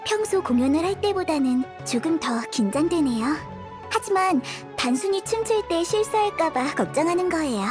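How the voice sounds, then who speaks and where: An actress playing a sweet, polite, determined young dancer in a video game, gentle and courteous. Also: female voice, very high voice, animated, moderate pace, music in the background. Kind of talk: video game dialogue acting.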